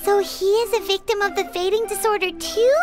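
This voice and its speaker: A woman's voice: extremely high pitch, fairly even intonation, brisk, over music. A woman voicing a tiny, perky, childlike fairy companion in a video game, eager in manner. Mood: curious.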